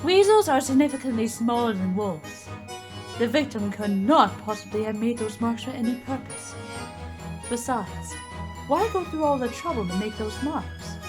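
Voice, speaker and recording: A woman's voice: fairly high pitch, lively intonation, moderate pace, over music, natural voice. A woman playing a firm, assured witness in a courtroom audio drama, articulate and insistent.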